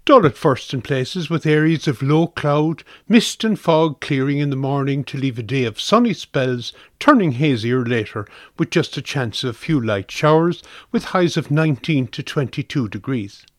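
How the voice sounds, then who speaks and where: A man's voice: on the high side, expressive, moderate pace, hardly any pauses, dry recording, clean studio sound. A man with an Irish accent reads a scripted weather forecast for radio.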